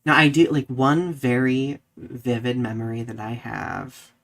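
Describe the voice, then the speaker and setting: Animated young podcast co-host telling stories. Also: medium pitch, a little slow, gravelly. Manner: animated retelling with quoted reactions. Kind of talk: casual conversational storytelling.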